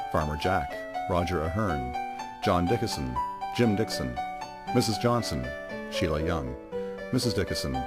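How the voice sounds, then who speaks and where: A man narrating a radio drama as a scripted storyteller. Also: sits low. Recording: music prominent behind the voice. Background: loud music.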